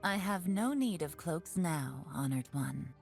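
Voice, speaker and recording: A woman's voice: sits low, animated, unhurried, gravelly, a little mellow, soft background music, exaggerated voice. An actress playing a sly, graceful fox spirit woman, coolly confident, in a video game. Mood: poised, wistful.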